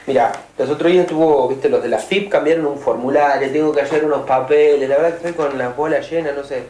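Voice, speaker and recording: A man's voice: on the high side, quick pace, dark tone, natural voice. Radio drama: actor as chatty, easygoing Argentine businessman, male, roomy echo, faint noise. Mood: friendly. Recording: echoey room, some noise.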